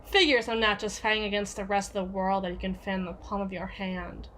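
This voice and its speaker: A woman's voice: medium pitch, steady tone, brisk, a bit bright, slight background noise, close to an everyday voice, low-quality recording. A woman playing a domineering, jealous yandere with biting sarcasm and a taunting, theatrical manner in an ASMR roleplay. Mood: sardonic, teasing. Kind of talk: ASMR roleplay audio drama.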